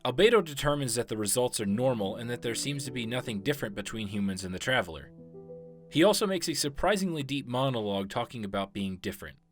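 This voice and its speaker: A man delivering scripted explanatory narration as a video essayist on game lore. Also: on the low side. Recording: voice-over with prominent music. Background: music.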